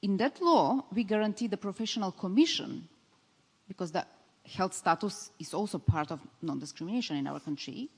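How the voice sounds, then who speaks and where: A woman speaking as a government representative, in halting English with frequent restarts. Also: mid-range voice, lively intonation, darkish tone, slightly rough. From North Macedonia. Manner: halting, non-native phrasing with restarts. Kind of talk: panel answer. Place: large conference hall. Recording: reverberant conference hall.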